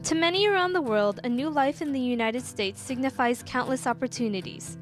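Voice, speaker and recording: Higher-voiced woman narrating a documentary. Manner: smooth, measured. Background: music.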